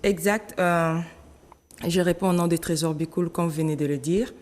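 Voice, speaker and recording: A woman in her late thirties from the Democratic Republic of Congo, telling her story as an interview guest, animated and laughing often. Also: fairly low pitch, normal speed. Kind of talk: conversational interview. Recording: long hall reverb.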